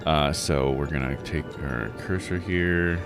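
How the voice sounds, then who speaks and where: A man's voice: extremely low pitch, a little slow, music in the background. A man hosting a livestream of a video game, thinking aloud in casual, slangy commentary. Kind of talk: casual gaming stream commentary.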